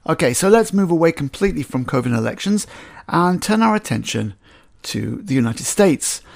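A man's voice: on the high side, gravelly, darkish tone. A man with a slight English accent, hosting a weekly news roundup podcast in a conversational way, with filler ums and small asides. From England. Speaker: podcast host. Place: Jerusalem.

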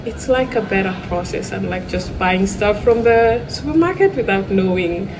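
A woman from Kenya chatting as a guest in a pub, relaxed and a bit hesitant. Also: medium pitch, moderate pace, crowd noise. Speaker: podcast guest. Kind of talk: casual interview chat. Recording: noisy pub background.

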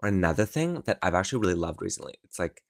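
Young man with a croaky, low voice. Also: sing-song, very fast, dry recording, clean studio sound. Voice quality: croaky morning voice. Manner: rambling, filler-heavy, encouraging. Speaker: podcast host. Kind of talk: casual solo podcast chat. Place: his own room at home.

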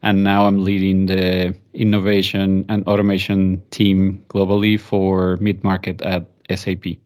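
A man's voice: deep voice, monotone, unhurried, a little mellow. A man from Venezuela, a native Spanish speaker with a Venezuelan accent, explaining things thoughtfully as a guest in a conversational interview. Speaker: podcast guest.

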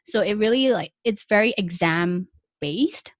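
A woman's voice: medium pitch, lively intonation, a little slow, no echo, clean studio sound. A young woman from Malaysia, speaking Malay as a first language, chatting easily in an interview with lots of fillers. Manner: chatty, many fillers and small laughs. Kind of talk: casual conversational interview.

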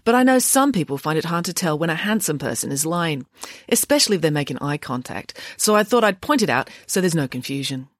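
A woman voicing a frank, languid, kindly teenage girl in a storybook, candid and unhurried. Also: low-pitched, big pitch swings, dry recording, clean studio sound. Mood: candid, mild.

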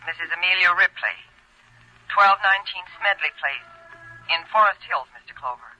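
Brisk formal lady, sharp tinny phone voice, clipped, old radio drama. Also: lowish voice, expressive, faint music behind. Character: brisk, formal, well-off married woman caller. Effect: telephone filter. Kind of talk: 1940s radio acting. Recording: tinny telephone effect on vintage radio.

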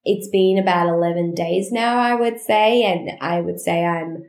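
A woman's voice: fairly low pitch, echoey room. A woman hosting a casual solo podcast, talking in a chatty, rambling way while her voice is nasally and congested. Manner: chatty, rambling and animated, with quick asides. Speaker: podcast host.

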